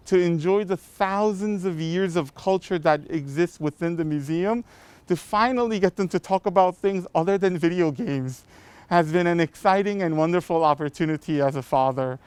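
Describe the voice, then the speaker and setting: A middle-aged man with a smooth, clear and fairly high voice delivers a prepared welcome as a corporate sponsor. He is polite, formal and earnest. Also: moderate pace, no echo.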